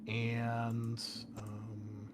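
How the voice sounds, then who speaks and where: A man's voice: medium pitch, completely flat delivery, extremely slow, noisy background. A man speaking as game master, explaining a tabletop roleplaying scenario in a conversational, improvised way. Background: background music.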